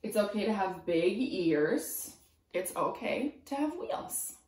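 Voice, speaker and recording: A woman's voice: mid-range voice, sing-song, darkish tone. Young woman reading storytime in a roomy space. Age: 20s. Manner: simple, encouraging, teacherly phrasing with pauses. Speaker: preschool teacher reading a storybook. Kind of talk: children's storytime read-aloud with questions to young listeners. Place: small room at home, indoors. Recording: roomy indoor echo.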